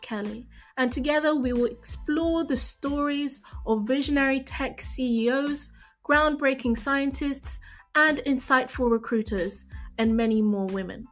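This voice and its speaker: A woman's voice: high-pitched, fairly bright tone. A woman hosting a podcast, reading an upbeat scripted introduction and asking interview questions. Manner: upbeat and enthusiastic. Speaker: podcast host.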